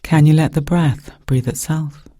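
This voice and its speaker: A man with a soft, breathy voice guiding a meditation in calm, gentle, measured phrases with pauses. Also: a little high, no room sound, clean studio sound. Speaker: meditation guide. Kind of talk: guided meditation narration.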